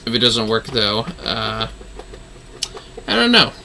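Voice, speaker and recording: A man's voice: fairly low pitch, sing-song, slow pace, sharp tone, slight background noise. A man chatting casually as he hosts a game livestream, informal and apologetic, swearing freely. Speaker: livestream host playing a video game.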